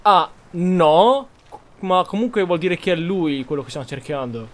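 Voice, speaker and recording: Slightly high-voiced man doing casual gameplay commentary. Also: animated, moderate pace, crowd noise. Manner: casual, reasoning aloud. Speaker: gaming streamer.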